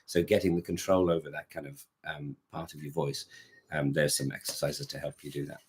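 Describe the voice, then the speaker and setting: Male choir director, very low voice, loose rambling conversational delivery. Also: level tone, brisk. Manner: rambling, many restarts, sings short notes to demonstrate. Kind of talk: casual interview chat.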